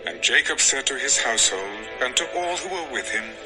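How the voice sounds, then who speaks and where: Expressive male scripture narrator over music. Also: mid-range voice, moderate pace, low-quality recording.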